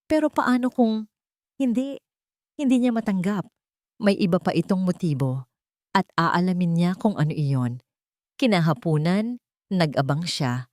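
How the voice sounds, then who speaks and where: Female narrator for dramatic romance fiction. Lowish pitch, dry recording. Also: warm tone, no room sound. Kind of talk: dramatic scripted narration of a love story.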